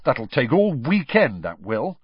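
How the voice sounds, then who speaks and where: A man's voice: mid-range voice, big pitch swings, unhurried, breathy edge, dry recording, voice pushed up. A man voicing a sulky, wisecracking teenage boy who grumbles sarcastically. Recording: dry, slightly dull studio recording.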